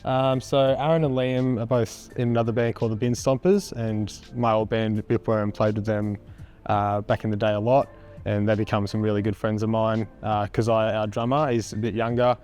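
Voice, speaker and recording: Man, young adult, Australian accent, raspy, laid-back, hesitant, low voice. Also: flat delivery, moderate pace, darkish tone, faint music behind. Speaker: band frontman. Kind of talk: casual interview answers.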